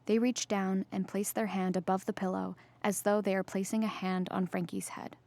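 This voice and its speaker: Measured woman reading stage directions. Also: mid-range voice, flat delivery, a little mellow. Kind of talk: scripted narration, present-tense stage directions.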